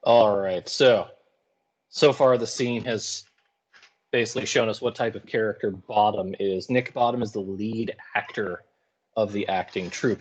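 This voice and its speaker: A man's voice: fairly low pitch, husky. A man teaching English, giving informal, colloquial explanatory commentary in a casual lecture style. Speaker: high school English teacher.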